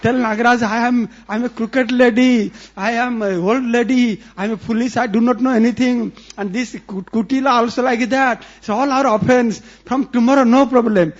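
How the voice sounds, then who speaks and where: A man's voice: high voice, lively intonation, fairly quick, warm tone, breathy edge. A man voicing a worried, pleading old mother-in-law, on a dull lo-fi recording. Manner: pleading, self-abasing.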